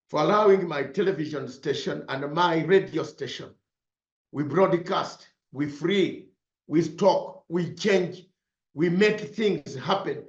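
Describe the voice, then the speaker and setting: A man's voice: sits high, a bit bright. A man from Uganda, a broadcaster, giving an impassioned political speech with emphatic repetition. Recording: roomy, slightly dull sound.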